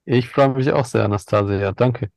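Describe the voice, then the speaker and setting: A man in his thirties, raised in Germany, chatting in a relaxed way as an interview guest, laughing and using many fillers. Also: mid-range voice, moderate pace, creaky, no echo. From Ukraine, raised in Germany. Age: 30s. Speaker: guest, cacao entrepreneur. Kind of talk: casual interview talk. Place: indoors at night, insects heard outside.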